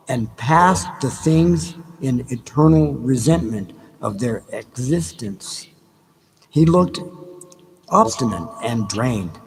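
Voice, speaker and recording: A man reading a novel aloud in a dramatic, deliberate, measured style with pauses. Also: mid-range voice. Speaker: audiobook reader. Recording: noisy recording with background ambience. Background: background ambience sounds.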